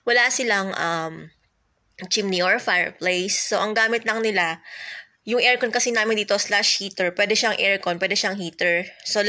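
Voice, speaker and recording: Chatty Filipino woman with a bright voice. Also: medium pitch. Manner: chatty, rambling, lots of fillers and soft laughs. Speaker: vlogger. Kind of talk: casual chat to camera, mixing Tagalog and English. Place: home, indoors. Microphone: phone held close, front camera.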